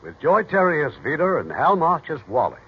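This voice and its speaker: A man's voice: fairly high pitch, big pitch swings, brisk, heavy background noise. A man with an American accent narrating from a script as host of a 1940s radio theater. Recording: muffled 1940s radio, music behind.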